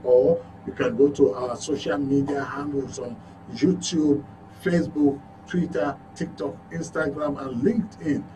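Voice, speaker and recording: A man's voice: mid-range voice, noisy background. A Nigerian man hosting a live radio phone-in show in a presenter's style. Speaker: radio call-in show host. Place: radio studio.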